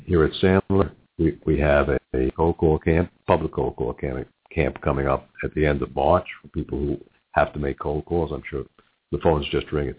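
A middle-aged man with a New York accent hosting a business talk show, halting and repeating words as he goes. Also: a bass voice, fairly even intonation, husky. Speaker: radio talk show host. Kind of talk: business interview and announcements. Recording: muffled narrow phone-like audio.

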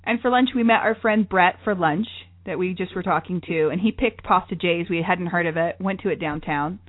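A woman's voice: lowish voice, fairly quick. Bubbly chatty woman, tinny line. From lived in New York City. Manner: bubbly, chatty, laughs often. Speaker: travel show co-host. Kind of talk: casual chat.

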